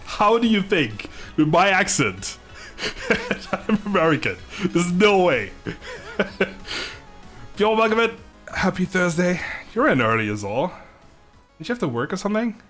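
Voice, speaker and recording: A man's voice: sits high, huge pitch swings, a little slow, fairly bright tone. Young dutch guy on stream, chatty, laughs a lot, trips over his words, a bit of music behind. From Netherlands. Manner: chatty, laughs often, stumbling restarts, swears casually. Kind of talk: casual live-stream chat reading chat.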